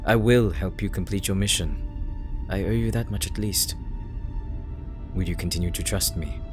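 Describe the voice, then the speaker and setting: A man's voice: sits low, moderate pace, music in the background, lightly acted. A man playing an anxious, earnest young paladin pilot in an audio roleplay, hesitant and formal in his wording. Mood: earnest, grateful.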